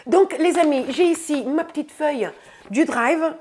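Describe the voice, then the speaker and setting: A woman in her thirties with a high voice and a stuffy nose from a cold chats casually about her groceries. She takes her time, is upbeat and keeps addressing her viewers as friends. She was recorded on a lapel mic in a bright room indoors. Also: a little slow. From France. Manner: chatty, says "les amis" often, upbeat. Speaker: vlogger. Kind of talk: casual grocery haul vlog.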